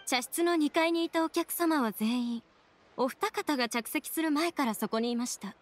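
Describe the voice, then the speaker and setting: Woman acting high, polite teahouse attendant. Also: normal speed, lightly acted. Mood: polite firmness. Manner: formal, deferential. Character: polite, composed young female teahouse attendant. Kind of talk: Japanese game voice acting.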